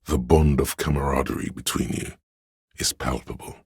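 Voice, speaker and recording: A man narrating a game script in a measured, grave, literary manner, with a very deep, gravelly, husky voice. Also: fairly even intonation, a little mellow, dry recording, studio quality.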